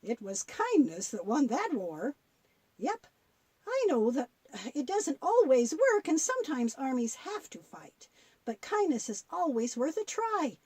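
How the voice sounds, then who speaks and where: A woman's voice: sits high, sing-song, a little slow, darkish tone, no room sound. A woman playing a kindly, folksy grandmother who patiently tells Bible stories in a children's audio drama. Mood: warm, instructive.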